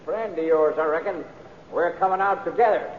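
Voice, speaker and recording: A man's voice: fairly high pitch, normal speed, slight background noise, close to an everyday voice. Guy doing a desperate western outlaw, threatening drawl, echoey cave sound, old tinny radio. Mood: defiant, threatening. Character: desperate cornered outlaw killer, menacing and defiant.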